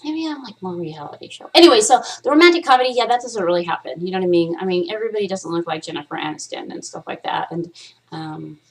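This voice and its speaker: Candid, rambling woman vlogger, slightly low. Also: animated, fast talker. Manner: candid, rambling, swears freely; playful, trying out voices. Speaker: vlog host. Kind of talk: casual chat to camera.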